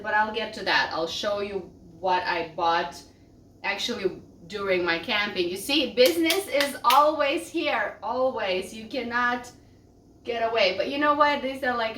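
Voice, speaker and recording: A woman vlogging casually, her voice rough from a cold, talking loudly and rambling with self-deprecating asides. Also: medium pitch, slight background noise. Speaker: vlogger and small business owner. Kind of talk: casual chatty vlog. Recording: roomy echo, distant mic.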